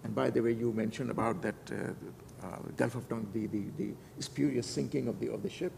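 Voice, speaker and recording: An older man from Pakistan, reflecting on his life at a conference panel, halting and full of repeated words and restarts. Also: mid-range voice, fairly even intonation, vocal fry. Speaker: panel speaker. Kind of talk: unscripted personal reflection at a panel. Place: conference hall. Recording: long hall reverb with faint noise.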